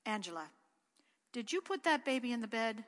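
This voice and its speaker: A brisk Irish mother talks in a firm, matter-of-fact way, as a woman reads her lines. Her tone is bright and forward. A long hall echo follows her. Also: a little high, very animated. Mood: stern. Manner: soothing yet sharp, matter-of-fact.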